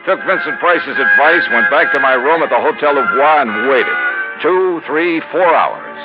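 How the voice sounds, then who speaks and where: An actor playing a wry American insurance investigator with an American accent, brisk and colloquial, in an old-time radio detective show. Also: male voice, a little high, animated. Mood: wry narration. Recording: dull vintage radio recording. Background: music.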